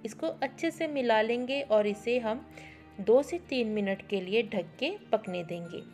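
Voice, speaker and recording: A woman's voice: fairly high pitch, animated, measured pace, darkish tone, faint music behind. A woman giving a step-by-step instructional cooking voice-over, mixing in English words. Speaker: cooking show host. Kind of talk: recipe tutorial voice-over.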